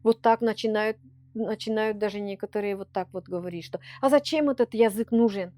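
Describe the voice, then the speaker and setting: A woman's voice: medium pitch, a little fast, dark tone. A woman speaking Russian with a Komi-Permyak accent, a native Komi-Permyak speaker, giving earnest, heartfelt interview answers as a children's folk ensemble leader.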